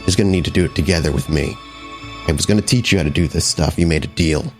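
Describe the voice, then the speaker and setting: A man's voice: low voice, fast, faint music behind, voice pushed down, close to an everyday voice. A man voicing an insistent, manipulative vampire father figure in a tabletop horror game. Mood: insistent, coaxing.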